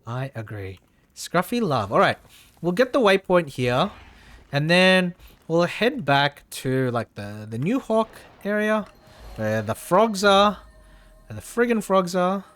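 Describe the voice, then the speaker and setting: A man's voice: sits high, big pitch swings, slow, a bit bright, dry recording. A man chatting casually through a live game stream, repeating phrases for emphasis, his voice a little tired and strained.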